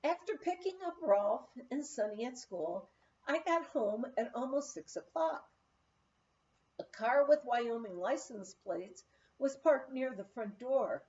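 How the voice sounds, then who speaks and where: A woman reading a humorous memoir as scripted narration, with expressive storytelling. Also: on the high side, slow, muffled recording. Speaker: audiobook reader.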